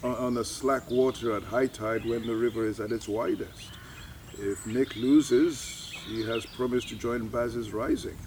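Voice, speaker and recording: A man's voice: medium pitch, measured pace, natural voice. A man playing a weary, cynical doctor in an audio drama, with a hesitant, resigned, fatalistic manner. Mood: dismissive. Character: weary, cynical, detached male doctor about to leave. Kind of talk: naturalistic audio drama acting. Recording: faint outdoor ambient noise. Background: birdsong, outdoor ambience.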